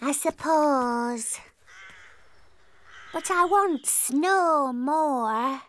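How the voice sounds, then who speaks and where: A British woman voicing an excitable, stubborn little girl princess in a cartoon, childlike and blunt. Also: high-pitched, very slow, exaggerated voice. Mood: sulky. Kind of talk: cartoon voice acting.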